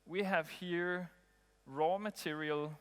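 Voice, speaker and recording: A man from Denmark, giving a relaxed conference talk with frequent ums and pauses. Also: on the high side, clean tone. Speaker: conference speaker. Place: conference hall. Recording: long hall reverb.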